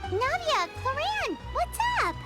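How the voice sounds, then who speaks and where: An actress voicing a tiny, excitable childlike fairy companion in an anime game, perky and squeaky. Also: female voice, slow pace, loud music behind the voice, exaggerated voice. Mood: curious, amazed. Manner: squeaky, perky, speaks of self in third person.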